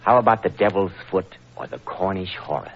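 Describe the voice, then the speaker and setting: A genial male host in a 1940s radio show. He talks quickly in a fairly low voice, with the dull sound of an old broadcast. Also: animated. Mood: interested. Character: genial host prompting a storyteller. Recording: muffled old-time radio recording.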